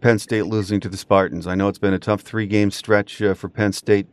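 A man's voice: fairly low pitch, level tone, brisk, clean tone, no echo. A man hosting a sports podcast, putting conversational questions to his guest. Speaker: sports podcast host, interviewer.

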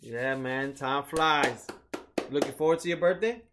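A man's voice: medium pitch, unhurried, noisy background. A man in his forties co-hosting a podcast, chatting casually, laughing often and swearing freely. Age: 40s. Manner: laughs often, profane storytelling. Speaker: podcast co-host. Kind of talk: casual chat.

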